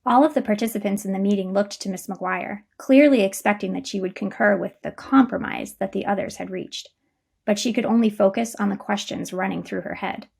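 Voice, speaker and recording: A woman's voice: mid-range voice, brisk, gravelly, darkish tone, husky. A woman reading scripted narration for a dramatized story.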